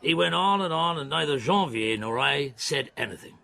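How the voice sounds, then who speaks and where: An actor playing a weary, dogged middle-aged police detective who narrates his case in a radio drama, with a gravelly voice. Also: on the high side, expressive, normal speed, pushed and bright, heavily acted. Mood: reflective, brooding. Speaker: narrator and lead character. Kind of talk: radio drama acting, first-person narration.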